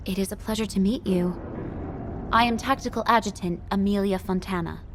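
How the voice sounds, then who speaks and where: Game role, female actor: dutiful female adjutant, medium-pitched, brisk and formal, slight background noise. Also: lightly acted. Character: crisp, dutiful young female military adjutant. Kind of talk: video game story dialogue.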